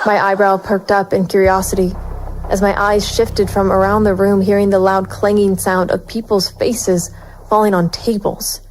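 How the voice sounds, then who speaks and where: A woman's voice: medium pitch, level tone, normal speed, a little hiss or hum, natural voice, poor audio. A woman playing a wary, bitter private investigator, narrating a noir audio drama in the first person. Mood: alarmed.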